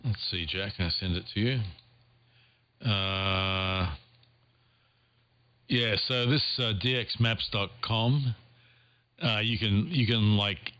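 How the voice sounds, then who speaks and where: A man's voice: fairly low pitch, slow, long pauses, sharp tone, dry recording. A man talking casually as an amateur radio operator, thinking aloud and repeating phrases as he goes. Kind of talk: casual ham radio contact.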